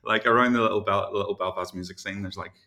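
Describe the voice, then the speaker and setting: A man's voice: on the low side, steady tone, fast talker, sharp tone. A man, chatting as an interview guest over a video call, rambling with lots of fillers and laughs. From Ireland. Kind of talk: casual interview chat.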